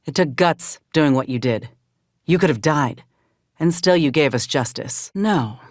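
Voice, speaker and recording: Young female soldier, low voice, dry close sound, earnest, folksy phrasing, video game dialogue. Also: lively intonation, a little slow, no echo. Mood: frank, troubled. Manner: earnest, plain-spoken, gently probing.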